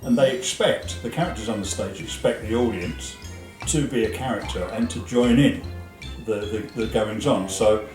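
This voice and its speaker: A man giving halting, conversational explanations as an interviewed stage performer. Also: on the low side, recorded from a distance. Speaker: pantomime performer being interviewed. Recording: echoey room, music behind.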